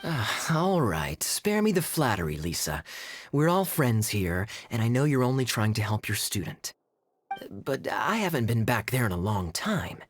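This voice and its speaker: A man playing a suave, sociable young cavalry captain, smooth-talking and casual, in an anime game. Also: mid-range voice, sing-song, measured pace, slight background noise. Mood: wry, reluctant.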